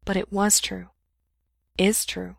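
Soft-spoken earnest male doctor as a woman voices him, measured delivery, gravelly low tone, dry close sound. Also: level tone, no echo, exaggerated voice. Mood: quiet. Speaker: reader voicing a character.

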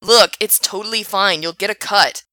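A man's voice: high-pitched, moderate pace, sharp tone, no room sound. A woman voicing a shady young male worker with a nasal, sneering voice. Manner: sneering, mocking.

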